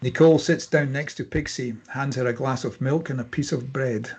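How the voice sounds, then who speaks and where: A man narrating scene headings and stage directions at a screenplay table read in a husky voice. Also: medium pitch, fairly even intonation, moderate pace, darkish tone.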